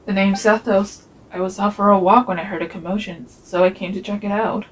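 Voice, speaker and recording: A woman voicing an easygoing, sociable young man of the desert in a fantasy game fan dub, relaxed and conversational. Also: mid-range voice, a little fast, a little hiss or hum, natural voice, low-quality recording.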